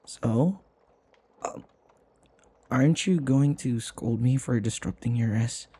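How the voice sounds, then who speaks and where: A man playing a flustered, submissive servant in an intimate audio roleplay, hesitant and apologetic, stammering with a breathy voice. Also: medium pitch, slow, dark tone. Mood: anxious. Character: flustered, submissive young male servant devoted to his vampire mistress.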